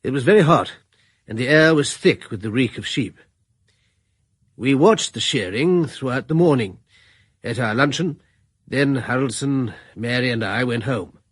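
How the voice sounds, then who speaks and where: An actor playing a middle-aged retired general who also narrates the story, in a radio drama. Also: male voice, mid-range voice, lively intonation, breathy edge, dry recording, clean studio sound. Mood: assured. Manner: assured, measured.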